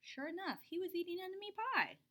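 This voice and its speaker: A woman reading a children's picture book aloud, expressive, dropping to whispers for suspense and pitching up for the child's lines. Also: fairly quick. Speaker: storybook reader.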